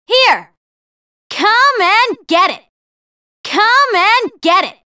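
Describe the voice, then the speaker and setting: A woman's voice: extremely high pitch, very animated, extremely slow, pushed and bright, full character voice. A woman voicing a spirited, combative young female fighter in short video game battle lines. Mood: eager, battling.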